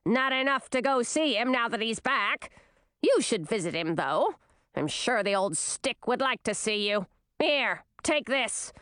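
A woman voicing a shrill, scornful old queen with a screechy, contemptuous way of scolding. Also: big pitch swings, measured pace, a bit bright, clean tone, dry recording, clean studio sound.

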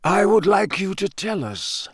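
남자가 연기하는 차분하고 위엄 있는 노마법사. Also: male voice, sits high, big pitch swings, slightly rough, dry recording, clean studio sound, pitched above the performer's own voice, exaggerated voice. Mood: gentle interrogation. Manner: measured, soft-spoken, commanding. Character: calm, authoritative elderly male wizard headmaster.

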